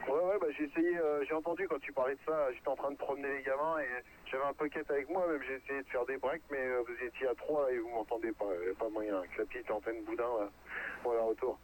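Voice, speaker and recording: Medium-pitched man on slightly noisy radio. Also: steady tone, quick pace. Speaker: amateur radio operator. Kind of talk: casual radio chat.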